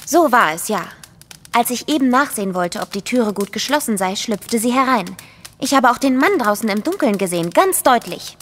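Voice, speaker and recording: An actress playing a polite, composed young gentlewoman with a formal, earnest manner, in a German audio drama. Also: a little high, lively intonation, normal speed, long reverb tail, a little hiss or hum.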